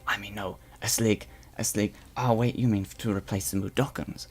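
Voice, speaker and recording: Brief: man playing a blustery creature propaganda anchor for a live roleplay stream, odd put-on accent, fairly low, rambling with restarts. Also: steady tone, moderate pace, a little mellow, slightly rough, faint music behind. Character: male Slig guard turned propaganda newscaster, boastful.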